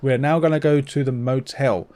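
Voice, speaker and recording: A man's voice: medium pitch, steady tone, moderate pace, darkish tone, no echo. A man giving a casual video game walkthrough, offhand, often correcting himself and slipping in joking asides. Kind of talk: casual gameplay walkthrough commentary.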